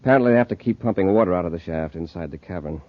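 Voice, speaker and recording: Actor as low-voiced commanding space patrol commander. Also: male voice, very fast, lightly acted. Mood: brisk, steady. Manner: authoritative. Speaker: radio drama actor. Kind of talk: mid-century radio adventure acting. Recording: noisy, muffled old radio recording.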